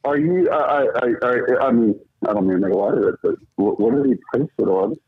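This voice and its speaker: A man's voice: mid-range voice, fairly quick, husky, no room sound. A man chatting casually on a lo-fi phone call. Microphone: telephone.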